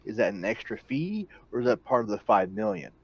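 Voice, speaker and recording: A man's voice: on the low side, very animated, a little fast, husky, lo-fi sound. A man playing a tabletop game, talking casually in a practical, questioning manner.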